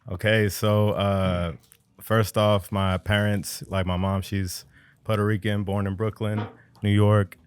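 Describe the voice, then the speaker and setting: A man from New Jersey in his thirties, a barber telling his story as a podcast guest in relaxed slang, often adding "you know what I mean". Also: on the low side, takes it slowly, smooth, no room sound. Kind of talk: casual storytelling.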